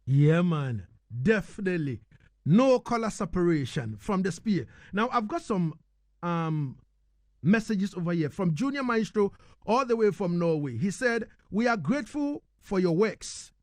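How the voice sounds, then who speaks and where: A man's voice: sits high, sing-song, unhurried, darkish tone, no room sound. A man hosting a live radio interview in an emphatic manner, often checking that he is understood. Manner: emphatic, repeats "you know what I mean". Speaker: radio host. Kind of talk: live radio interview, reading listener messages.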